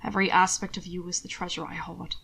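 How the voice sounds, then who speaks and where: A woman voicing a hushed, tender formal man. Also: lowish voice, flat delivery, vocal fry, slightly rough, exaggerated voice. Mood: devoted, hushed. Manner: soft, hushed, measured formal speech. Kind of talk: audiobook reading.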